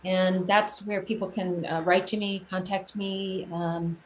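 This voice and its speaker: A woman giving a casual interview about her own work, speaking earnestly and haltingly as she thinks out her explanations. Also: medium pitch, rough recording. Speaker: guest, children's singer and teaching artist. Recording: clear steady background noise.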